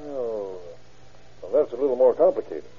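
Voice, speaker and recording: Husky, patient small-town radio doctor. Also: male voice, mid-range voice, animated, moderate pace. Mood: explaining. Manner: folksy, explanatory. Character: obliging, patient small-town doctor explaining lab tests. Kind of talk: old-time American radio drama acting. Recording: tinny, band-limited old radio recording.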